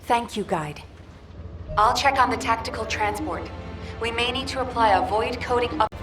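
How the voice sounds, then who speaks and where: An actress playing an efficient operations officer in a video game, with a businesslike, earnest manner. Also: female voice, fairly high pitch, normal speed, echoey room, close to an everyday voice. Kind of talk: scripted game dialogue. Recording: slightly distant under music.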